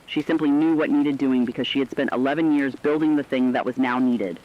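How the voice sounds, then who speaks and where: A man narrating scripted human-interest stories for a radio broadcast in a solemn, measured way. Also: medium pitch. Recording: dull lo-fi radio sound.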